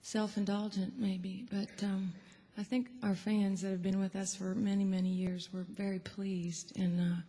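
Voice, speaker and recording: A woman's voice: mid-range voice, little pitch movement, a little slow, few pauses, dark tone. A woman musician talking casually as an interview guest, hesitant and understated.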